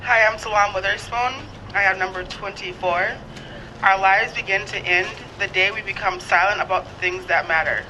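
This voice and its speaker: A woman's voice: mid-range voice, steady tone, moderate pace, bright, forceful tone, rough recording. A woman reading a quotation aloud as a community reader at an event. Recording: faint noise and crowd behind.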